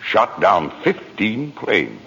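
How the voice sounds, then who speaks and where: Celestial narrator, very low lively voice, folksy storytelling, vintage radio drama, long reverb. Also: male voice, slow pace, vocal fry, slightly rough, full character voice. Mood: brisk storytelling. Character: calm, authoritative senior heavenly spirit recounting a life. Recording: muffled, echoing vintage radio broadcast.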